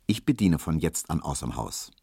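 A man's voice: very deep voice, very animated, moderate pace, warm tone, breathy edge, no room sound, exaggerated voice. A man doing shy young barber guy, meek, stammery, dry sound. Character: timid young male barber.

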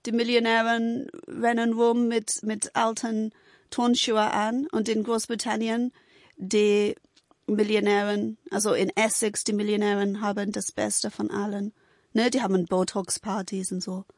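A woman's voice: medium pitch, little pitch movement, unhurried, no room sound, clean studio sound. A middle-aged woman from England with an English accent, a non-native speaker telling things casually and informally, often adding little tag questions. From England, United Kingdom. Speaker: interview guest. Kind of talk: casual conversational storytelling.

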